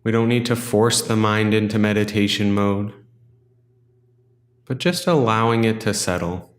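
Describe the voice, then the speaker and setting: Low-voiced man guiding meditation, roomy echo. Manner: gentle, unhurried, instructional with long pauses. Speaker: meditation guide. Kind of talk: guided meditation.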